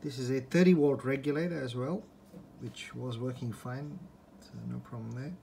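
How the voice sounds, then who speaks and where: A man with a medium-pitched voice talks through a hobby repair in an informal, unscripted way. He explains as he goes, somewhat hesitantly, thinking aloud. It is recorded on a handheld phone indoors, with faint noise in the background. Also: moderate pace, vocal fry, dark tone. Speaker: hobbyist restorer presenting a repair. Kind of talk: informal unscripted workbench walkthrough. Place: indoors at a desk in a room. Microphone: handheld phone or camera, behind the lens.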